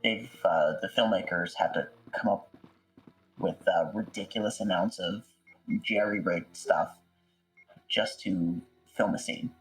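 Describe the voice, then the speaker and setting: A man speaking as a guest in a casual interview, in a halting way that repeats words for emphasis. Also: deep voice, soft background music, rough recording. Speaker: interview guest, audiobook voice actor.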